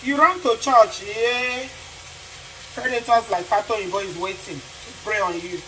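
A woman's voice: medium pitch, unhurried, a bit bright, low-quality recording. A middle-aged woman from Nigeria with a Nigerian accent, cooking in her home kitchen while talking passionately to the camera, asking rhetorical questions and repeating herself for emphasis. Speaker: vlogger. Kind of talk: cooking vlog with social commentary. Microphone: camera on the counter. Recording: frying noise behind voice.

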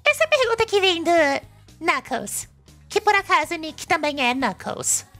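A woman voicing a perky, sassy young female hedgehog guesting on a cartoon web show, bubbly and expressive, with a Brazilian accent. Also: extremely high pitch, moderate pace, faint music behind, full character voice. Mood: playful.

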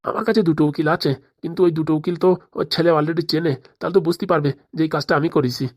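A woman's voice: sits low, steady tone, racing, no echo, studio quality. A woman voicing a fawning, gullible middle-aged male politician in a cartoon, wordy and deferential. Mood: impressed. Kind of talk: amateur cartoon voice acting.

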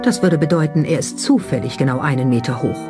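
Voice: mid-range voice, fast talker. A narrator reading a scripted documentary. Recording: music behind the voice. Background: background music.

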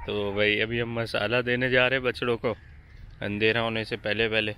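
A young man talks viewers through his cattle farm in a low, flat, matter-of-fact voice. He is recorded outdoors on a camera mic. Farm noise sits clearly behind him. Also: moderate pace. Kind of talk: casual vlog narration. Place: outdoor cattle farm under shade sheds.

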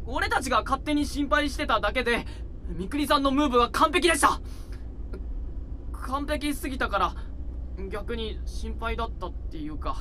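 Flustered polite young idol boy, bright sharp voice, swings up and down, voice drama with some road noise. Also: very high-pitched, heavily acted. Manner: stammering, earnest, polite, excitable. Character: eager, flustered young male idol, devoted fan. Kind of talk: Japanese anime-style voice drama acting. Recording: binaural recording with vehicle noise behind.

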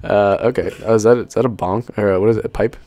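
Low-pitched young man, casual joking commentary. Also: fairly even intonation, fast, warm tone. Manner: joking, sweary, easily amused. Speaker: unboxing video commentator. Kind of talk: casual off-the-cuff reaction commentary.

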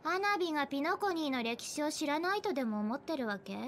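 A woman's voice: high voice, big pitch swings, brisk, fairly bright tone, slight background noise. A woman playing a mischievous, taunting young girl trickster in an anime game, with a sweet girlish voice. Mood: scornful. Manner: teasing, mocking, coy. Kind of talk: Japanese anime game voice acting.

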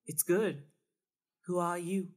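A woman's voice: lowish voice, steady tone, slow, a little mellow. A woman voicing a wary, bewildered young Southern man in a story, hesitant and polite.

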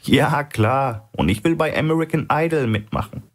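A man's voice: medium pitch, normal speed, pitched above the performer's own voice, lightly acted. A man voicing a shrewd, steely male hostage with a blunt, dry manner in a thriller audiobook. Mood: dry, probing.

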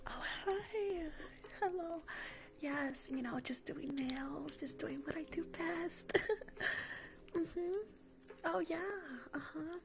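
A woman's voice: medium pitch, a little slow, vocal fry, pauses often. A woman whispering an ASMR roleplay as a rude young nail technician who gossips on the phone mid-job and pops her gum. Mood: catty. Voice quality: soft whisper. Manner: catty, dismissive, chatters on the phone mid-job.

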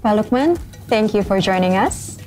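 A woman's voice: mid-range voice, animated, moderate pace, over music. A young adult woman hosting a corporate interview, delivering a polished introduction and courteous questions. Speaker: interview host. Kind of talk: scripted host introduction and questions. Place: bright office studio set.

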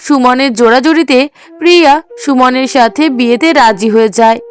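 A woman with a high voice narrates a cartoon story. Her delivery is scripted storytelling. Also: moderate pace.

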